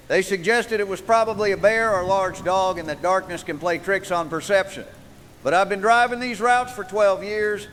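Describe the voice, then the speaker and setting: An actor playing an earnest, insistent American truck driver calling in to a radio show in a scripted drama. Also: male voice, high-pitched, a bit bright. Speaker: radio caller. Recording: long reverb, faint noise.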